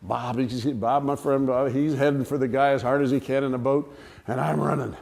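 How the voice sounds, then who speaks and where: A man telling a humorous personal anecdote to listeners in an animated, theatrical way. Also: medium pitch, fairly quick, vocal fry. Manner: animated, theatrical storytelling with acted-out cries and dialogue. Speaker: storyteller, speaker to an audience.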